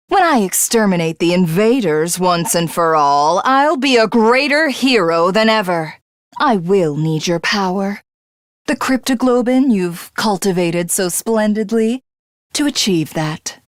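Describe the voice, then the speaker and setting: Woman performing a female game tyrant, godhood-obsessed, contemptuous, grandiose delivery, unhurried pace, dry studio sound. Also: medium pitch, expressive, no echo, natural voice. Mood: smug, menacing. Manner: haughty, theatrical, contemptuous. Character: megalomaniacal female alien tyrant craving godhood. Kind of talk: video game villain voice acting.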